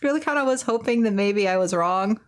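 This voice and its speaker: A woman's voice: mid-range voice, expressive, fairly quick. A woman game streamer reading game text aloud and commenting as she plays.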